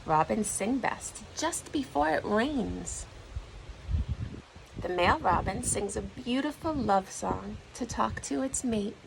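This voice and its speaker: A woman reading a children's storybook aloud like a teacher, in an expressive, deliberate storytelling manner. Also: medium pitch, gravelly, background noise.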